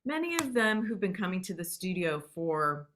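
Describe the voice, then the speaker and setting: Woman filmmaker, lower pitch, earnest and excited, video call. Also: normal speed, a little hiss or hum. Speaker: filmmaker guest.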